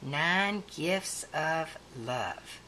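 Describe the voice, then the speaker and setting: A woman reading a children's picture book aloud in a deliberate manner, as if counting along with young listeners. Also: low voice, animated, heavy background noise.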